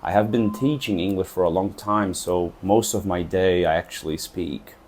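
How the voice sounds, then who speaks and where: A man from Brazil speaks English with a Portuguese accent in a thoughtful, unhurried vlog. His voice sits fairly low and sounds dark and mellow. He stops now and then to look for the right word. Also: a little hiss or hum. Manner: thoughtful, searching for words. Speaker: English teacher and traveler. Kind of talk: reflective vlog talk.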